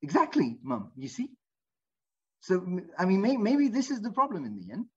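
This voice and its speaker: A woman playing a searching, husky monologue speaker. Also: mid-range voice, huge pitch swings, moderate pace. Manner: searching, conversational, emphatic. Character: person of African descent questioning Europe's whiteness. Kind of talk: theatre monologue excerpt. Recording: dull lo-fi archive recording.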